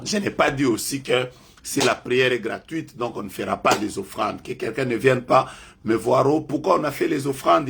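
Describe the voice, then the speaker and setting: A French-speaking man leading a live online prayer as a prophet, making emphatic, repetitive declarations that suddenly rise loud. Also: medium pitch, gravelly, a little hiss or hum.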